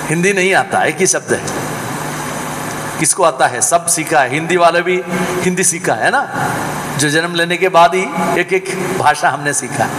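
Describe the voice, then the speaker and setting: A man preaching a sermon in Hindi, shifting between loud exhortation and low, quiet asides, and mimicking doubters in small voices. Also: unhurried. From South India. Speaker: pastor. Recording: echoey hall with background noise.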